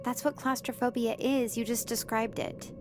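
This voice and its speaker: A woman's voice: fairly high pitch, steady tone, moderate pace, over music, natural voice. A woman voicing a bubbly, teasing young woman in a visual novel game, chirpy and playful.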